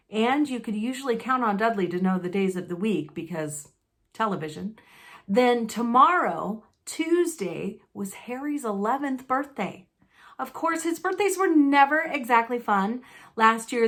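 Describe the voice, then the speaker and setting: Slow-paced woman reading a story aloud. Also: medium pitch, a bit bright. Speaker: storybook narrator. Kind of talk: expressive read-aloud narration of a children's novel.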